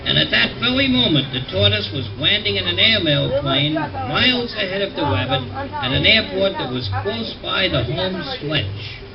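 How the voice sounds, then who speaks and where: A man narrating a children's story record in a lively storyteller manner. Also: sits high, normal speed, few pauses, a crowd in the background. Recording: dull old record under loud music.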